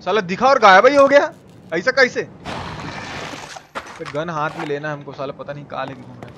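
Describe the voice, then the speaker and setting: A man's voice: on the high side, brisk, highs cut off. A man from India with a Bihari accent gives casual, colloquial live gameplay commentary peppered with swearing. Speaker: game streamer. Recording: clearly noisy background.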